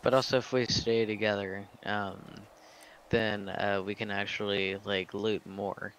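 A man casually giving instructions in character as a group leader in a roleplay game, with halting, measured phrasing. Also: on the low side, flat delivery, fairly bright tone, slight background noise, low-quality recording.

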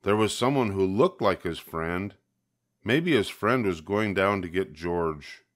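Deep-voiced older man reading a storybook slowly. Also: lively intonation. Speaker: storybook reader. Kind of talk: scripted narration of a children's picture book.

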